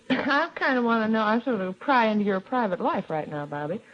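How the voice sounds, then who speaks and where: A woman's voice: fairly high pitch, big pitch swings, a little fast, a little hiss or hum. An American woman interviewing in a chatty 1940s radio style, coaxing her guests along with questions. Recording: dull, lo-fi old radio recording.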